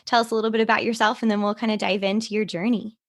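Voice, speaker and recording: A woman's voice: medium pitch, little pitch movement, rapid-fire. A woman hosting a podcast in an enthusiastic, friendly way, with a slightly scratchy voice. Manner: enthusiastic, gushing. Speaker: podcast host. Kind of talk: casual friendly interview chat.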